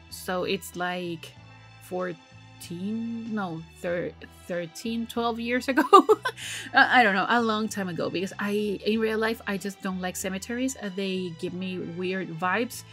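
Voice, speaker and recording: Lady in her 30s getting over a cold, rambly, laughs a lot, bit of music behind. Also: medium pitch. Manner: rambling, self-correcting, laughing often. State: recovering from a cold. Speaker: game builder channel host. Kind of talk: casual voice-over commentary.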